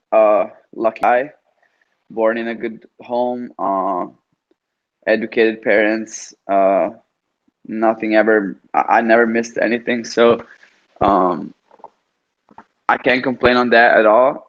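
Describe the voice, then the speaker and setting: A young man from Italy speaks in a middling voice, pausing often with "uh" and "um" as he gathers his thoughts. The audio is dull and lo-fi. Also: medium pitch. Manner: hesitant, many fillers. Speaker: student guest. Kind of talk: casual conversation.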